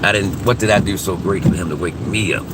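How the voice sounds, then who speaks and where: A man's voice: deep voice, fast talker. A man chatting casually with his viewers in a folksy, preachy way, a little congested from a head cold, talking from a car in the rain. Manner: folksy, preachy, calls listeners "folks" often. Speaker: vlogger chatting with live viewers. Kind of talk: casual live-stream chat. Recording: distant mic, loud rain noise.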